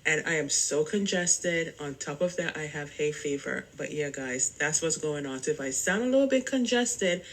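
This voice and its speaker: A woman's voice: deep voice, lively intonation, moderate pace, few pauses, poor audio. A woman hosting a casual solo podcast, chatting in a rambling way and speaking straight to her listeners. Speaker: podcast host.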